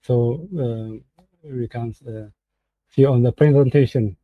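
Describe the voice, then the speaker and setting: A man from Ethiopia with an Ethiopian accent, giving an informal presentation in halting, hesitant English over a remote call. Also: mid-range voice, steady tone, no echo. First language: Amharic. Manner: halting, hesitant English with frequent searching for words. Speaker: guest presenter. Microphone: remote online call. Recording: tinny, phone-like internet call audio.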